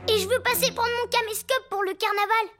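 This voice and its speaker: An actor voicing an eager six-year-old boy superhero in a French children's cartoon, playful, forgetful and excitable, with music behind. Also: very high voice, a little fast. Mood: casual, excusing. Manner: exclamatory, excitable.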